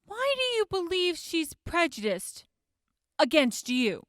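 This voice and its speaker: A woman's voice: very high voice, wildly swooping pitch, slow, fairly bright tone, no echo, clean studio sound, full character voice. An actress playing a skeptical woman prosecutor who questions a defendant in a probing way. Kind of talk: audio drama acting.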